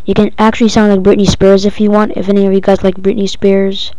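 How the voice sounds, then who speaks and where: A female-sounding speaker giving a casual, halting, improvised step-by-step software tutorial. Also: mid-range voice, monotone, clean tone, no room sound. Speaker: tutorial presenter. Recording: dull lo-fi home recording.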